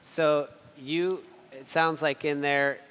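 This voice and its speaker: A man's voice: a little high, fairly even intonation, slow pace, fairly bright tone, clean tone. A man hosting a conversation, putting questions to guests informally in a reverberant space. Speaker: host moderating a conversation. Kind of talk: conversational interviewing. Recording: reverberant hall.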